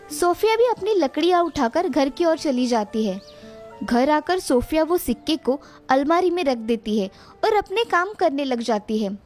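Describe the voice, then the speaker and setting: A woman narrates a scripted children's moral tale. Her voice is high, with soft music playing behind it.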